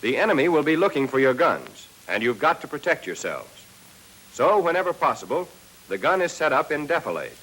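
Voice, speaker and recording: An American man narrating a 1940s military training film, reading a scripted lesson and addressing trainees directly with clear instructions. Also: on the high side, a bit bright, poor audio.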